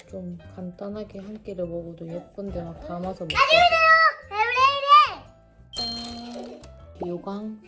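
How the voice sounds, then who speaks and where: A cheerful woman in her thirties talks casually to the camera about dishes she just bought, at an everyday mid pitch. She has the chatty, friendly manner of a mother vlogging for her viewers. Loud background music plays under her voice. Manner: chatty, cheerful, shows off purchases. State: sore throat from a cold. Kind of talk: casual vlog chat and voice-over.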